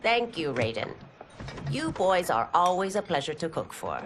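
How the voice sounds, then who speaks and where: A woman's voice: fairly low pitch, big pitch swings, normal speed, slight background noise. An actress playing a motherly innkeeper and martial arts teacher, stern but fond, scolding with affection, in a video game cutscene. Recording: game soundtrack music behind.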